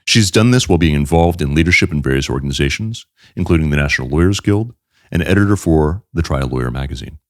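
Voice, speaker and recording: Male podcast host, very low voice, calm and measured questions, dry studio sound. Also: no room sound. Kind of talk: interview podcast.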